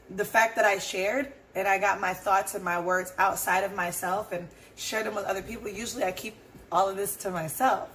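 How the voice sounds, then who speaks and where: Hoarse woman musing on her podcast. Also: medium pitch, fairly bright tone, a little hiss or hum. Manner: rambling and earnest, with fillers. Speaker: podcast host. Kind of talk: casual solo reflection.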